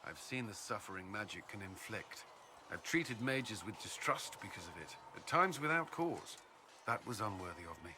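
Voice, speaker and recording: A man's voice: lowish voice, expressive, noisy background, close to an everyday voice. An actor playing an earnest, dutiful military commander in a fantasy video game, a little hesitant in his speech. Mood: measured, earnest. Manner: hesitant, halting when flustered.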